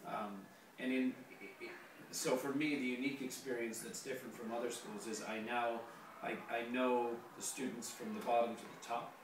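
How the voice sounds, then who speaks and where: A hoarse man, a teacher being interviewed, answering casually with many pauses and the odd dry joke. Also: medium pitch, fairly even intonation. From Canada. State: hoarse, losing his voice. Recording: very echoey room, distant mic, noisy.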